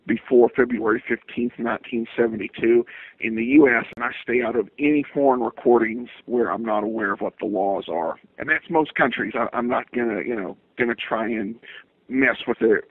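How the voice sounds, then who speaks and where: A man's voice: mid-range voice, slightly rough. A man answering interview questions as a podcast guest, speaking hesitantly with repeated stammered restarts, over a call. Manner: hesitant, halting delivery with frequent stammered repeats. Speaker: guest, podcaster of old-time radio shows. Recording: tinny phone-line sound, dry.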